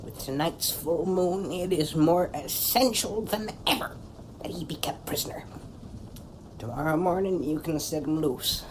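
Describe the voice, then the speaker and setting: A woman's voice: low-pitched, expressive, a little hiss or hum, low-quality recording. A woman playing a determined old woman, telling things dramatically in a comedy audio drama. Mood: wry, defiant. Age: older. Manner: dramatic storytelling, deliberate. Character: theatrical, determined old woman who hunts a monster. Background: music.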